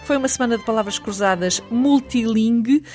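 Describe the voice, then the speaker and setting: A woman with a European Portuguese accent hosting a radio interview, asking questions clearly and articulately. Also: a little high, a little fast, a little mellow, soft background music. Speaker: radio host.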